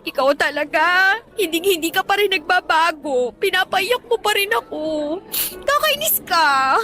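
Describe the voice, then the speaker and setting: A woman's voice: very high-pitched, animated, a little slow, bright, forceful tone, soft background music, exaggerated voice. An actress playing an affectionate, teasing, motherly former nanny in an emotional radio drama. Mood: tender. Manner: emotional, tender, playfully scolding. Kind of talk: Tagalog radio drama acting.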